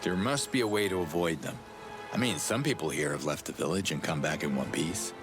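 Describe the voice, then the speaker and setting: A man's voice: deep voice, brisk, natural voice. A man playing a dry, sardonic, laid-back hero in a video game cutscene. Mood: easygoing. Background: background music.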